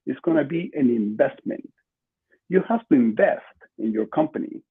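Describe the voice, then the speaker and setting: Older male podcast guest, Peruvian Spanish accent, slightly high-pitched, conversational and emphatic, phone-like video-call sound. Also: lively intonation, normal speed, creaky, husky. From Lima, Peru. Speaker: podcast guest, marketing entrepreneur. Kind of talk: conversational interview answers. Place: small room at home, indoors. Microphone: video call, computer mic at desk distance. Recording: muffled, lo-fi video call audio.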